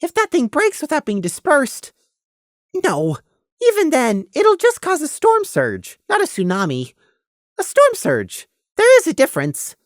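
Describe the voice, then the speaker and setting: A woman's voice: sits high, wildly swooping pitch, a little slow, dry recording, studio quality, voice pushed up, exaggerated voice. A woman voicing a small male hoofed creature, a self-serving worrier with morbid wit who argues and pleads in an audiobook. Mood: anxious. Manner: wry, pleading, argumentative. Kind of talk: fan fiction audiobook character voice.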